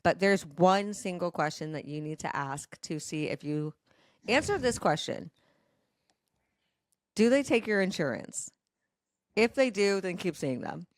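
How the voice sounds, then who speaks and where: A woman bantering casually as a podcast co-host. Her voice sits a little low for a woman, with no room sound around it. She talks in a wry, joking way. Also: expressive, measured pace, pauses often, dry recording, clean studio sound.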